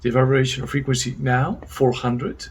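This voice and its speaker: A man co-presenting, reading out a list of findings in a deliberate, clipped way, item by item. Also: medium pitch, fairly even intonation, slightly rough. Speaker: co-presenter reporting energy readings.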